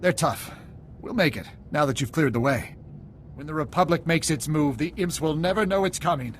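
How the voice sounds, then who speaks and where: Man acting high-voiced, emphatic military squad leader. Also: normal speed, a little hiss or hum, natural voice. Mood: grateful, relieved. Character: embattled Republic squad leader, urgent, loyal and grateful. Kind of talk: cinematic video game voice acting. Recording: music behind the voice.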